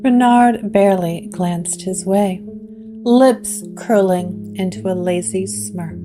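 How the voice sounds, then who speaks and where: Woman, measured dramatic narrator, mid pitch, over guitar music. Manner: slow, hushed, dramatic storytelling. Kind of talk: scripted gothic drama narration.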